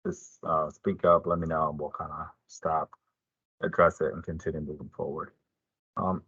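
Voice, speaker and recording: A man's voice: low voice, a bit bright, husky, no echo, highs cut off. A man giving an informational presentation as a police detective, explaining things in a plain, informal way.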